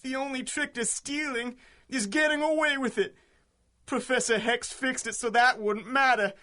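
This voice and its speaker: Cornered radio-play hoodlum. High-pitched, strained, forceful; defiant bluster over craven nerves. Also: male voice, steady tone, moderate pace. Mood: cowed, confessing. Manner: blustering, pleading, stammering. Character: cornered male small-time crook, defiant, panicky, craven. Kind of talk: 1930s-style radio drama acting.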